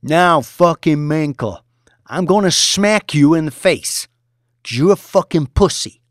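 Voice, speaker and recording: A man's voice: fairly high pitch, big pitch swings, normal speed, no room sound, clean studio sound, pitched above the performer's own voice. A man doing a raging, foul-mouthed young boss. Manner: shouting, swearing, aggressive New York street talk. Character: volatile young male finance boss, bullying.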